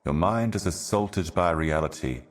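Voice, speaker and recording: An actor playing an ominous, ancient otherworldly herald in a video game, speaking in solemn, cryptic, deliberate monologue. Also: male voice, low-pitched, natural voice. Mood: bleak, mournful. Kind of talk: scripted video game voice acting, slow monologue.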